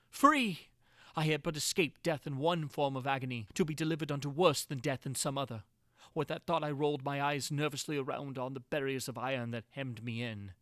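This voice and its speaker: High-voiced man, theatrical gothic horror narrator. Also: no room sound, studio quality. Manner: theatrical, intense delivery with big swings from hushed to crying out. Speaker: narrator reading classic horror tales. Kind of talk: dramatic reading of gothic horror.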